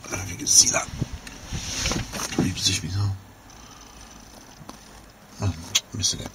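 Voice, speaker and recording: A man giving an informal hands-on technical walkthrough, unscripted and halting as he thinks aloud. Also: low-pitched, steady tone. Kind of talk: informal hands-on show-and-tell narration. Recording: clearly noisy handheld amateur recording.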